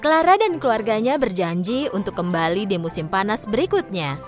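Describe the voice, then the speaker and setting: A woman's voice: medium pitch, lively intonation, moderate pace, soft background music. A woman narrating a scripted children's bedtime story.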